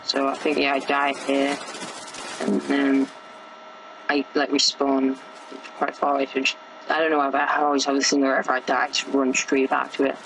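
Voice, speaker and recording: A teenage boy with a sore, croaky throat, rambling casually through gameplay commentary with many fillers and restarts. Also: mid-range voice, a bit bright, slight background noise, low-quality recording. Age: teens. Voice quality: gravelly.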